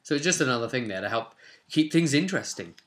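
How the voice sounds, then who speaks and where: A man's voice: mid-range voice, very animated, fast talker, fairly bright tone. A man in his twenties casually talking through tabletop game rules and reading parts aloud, recorded at a desk in a small room at home. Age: 20s. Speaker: tabletop roleplay channel host.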